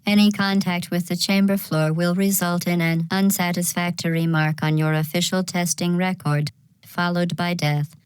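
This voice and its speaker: A woman playing a polite, coldly sinister artificial intelligence in a video game, giving measured, deadpan clinical announcements through synthetic robotic processing. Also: on the low side, clean tone, no room sound, low-quality recording. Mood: politely menacing.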